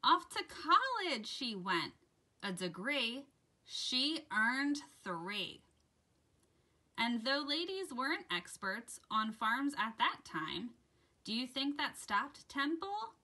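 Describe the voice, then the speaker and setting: A woman reads a children's story aloud with a lively, swooping pitch and an unhurried pace. Her delivery is dramatic and deliberate, shaped for young listeners, with a bright, clear-cut edge to the tone. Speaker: children's story time host and reader. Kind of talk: expressive read-aloud of a rhyming children's picture book.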